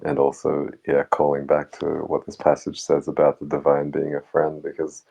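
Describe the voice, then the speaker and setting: A man in a casual, reflective discussion, speaking haltingly as he searches for words. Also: rumbling low, completely flat delivery, creaky, dark tone, husky. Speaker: book club discussion participant.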